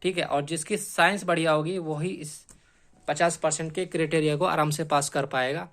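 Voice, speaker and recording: A man's voice: a little high, fairly even intonation, normal speed, a bit bright. A man explaining exam strategy like a coaching teacher, repeating key points emphatically and often checking in with short tag questions.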